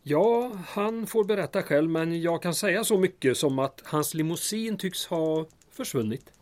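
An actor playing a tactful, inquisitive small-town mayor in a comic audio drama, polite and slightly hesitant. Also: male voice, fairly high pitch, expressive, a little mellow, close to an everyday voice. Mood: musing.

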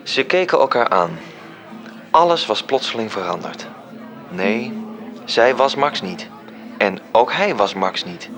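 A man from the Netherlands performing as a literary narrator in a radio play, telling the story in a measured way. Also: mid-range voice, lively intonation, a bit bright. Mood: measured, reflective.

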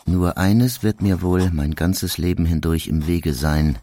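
A man reading the quoted letters of a young engineer in an audiobook, measured and reflective. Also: deep voice, warm tone. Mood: rueful.

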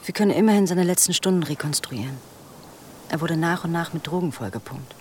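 A woman's voice: fairly low pitch, fairly quick, slight background noise, natural voice. An actress playing a self-possessed young woman in police work, cool and matter-of-fact, in a naturalistic radio drama. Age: young adult.

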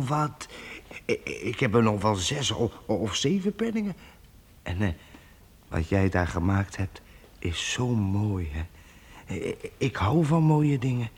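Casting: a man playing a husky, stammering young vagabond, generous and eager, radio play. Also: lowish voice, big pitch swings, unhurried, full character voice. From Bruges. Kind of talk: radio drama acting.

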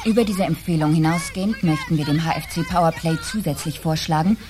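Low-voiced sober female consultant played by an actress. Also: a little fast, background noise, natural voice. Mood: sober, factual. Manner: formal, matter-of-fact. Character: sober female consultant presenting a scientific report. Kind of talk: scripted German radio drama, report reading.

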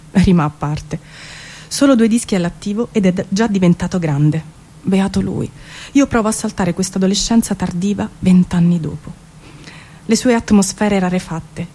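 A woman's voice: on the low side, mellow, husky. A woman giving a melancholic, introspective, theatrical spoken-word reading of poetic prose, as a stage performer.

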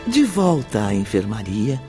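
An actor playing the formal storyteller of a radio drama, reading scripted narration in Brazilian Portuguese. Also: male voice, fairly low pitch, wildly swooping pitch, measured pace, a little mellow, soft background music. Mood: measured.

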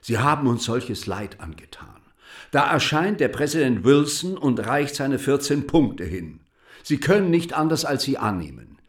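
Actor as sardonic French statesman-writer, animated delivery. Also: medium pitch, normal speed, lightly acted. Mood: bitter irony. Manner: rhetorical, ironic. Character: bitter, patriotic French writer and deputy, eloquent, sardonic. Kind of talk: radio play acting.

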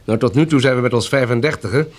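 A man's voice: medium pitch, quick pace, close to an everyday voice. An actor playing a polite, reasonable man who helps organise a group of survivors in a radio drama. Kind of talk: Dutch radio drama acting.

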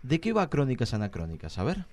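A man's voice: medium pitch, huge pitch swings, quick pace, darkish tone. A man with an Argentine accent hosting a live radio show, chatting and joking with guests. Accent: Argentine Spanish. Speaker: radio host. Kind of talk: casual radio interview. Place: radio studio.

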